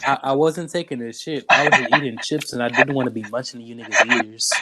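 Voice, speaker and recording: A man's voice: mid-range voice, fairly even intonation, quick pace. A young man in casual, slangy banter with friends, telling stories and joking complaints. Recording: loud music over the voice. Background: loud music.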